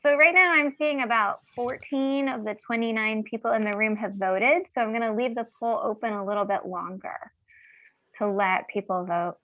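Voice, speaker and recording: A woman's voice: a little high, moderate pace, dry recording. A woman acting as moderator of an online class, speaking informally and practically over a call. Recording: narrow phone-like call audio.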